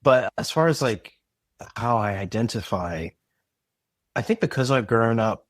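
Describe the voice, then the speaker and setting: A man's voice: mid-range voice, animated, unhurried, no echo, studio quality. A man who grew up in Zimbabwe, a podcast guest talking thoughtfully and searching for words, often restarting and checking "you know what I mean". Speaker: podcast guest, audio drama creator. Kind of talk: reflective interview conversation.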